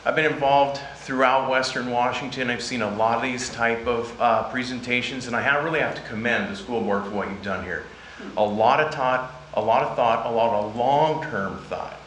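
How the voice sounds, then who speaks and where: A man who is a union business agent, speaking loudly and folksily into a handheld mic at a community meeting. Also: mid-range voice, normal speed, a little hiss or hum. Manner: loud, folksy, appreciative. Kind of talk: public comment at a meeting. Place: county meeting room. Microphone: handheld microphone. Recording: echoey meeting room.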